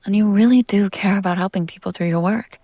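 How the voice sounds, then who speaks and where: Woman playing a breathy, seductive vampire heiress. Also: mid-range voice, no room sound, clean studio sound, natural voice. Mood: tender, coaxing. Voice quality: soft, breathy, often dropping to a whisper. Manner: teasing, breathy asides, genteel and deliberate phrasing. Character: seductive, refined vampire heiress, coy and possessive. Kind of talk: intimate roleplay audio drama addressed to the listener.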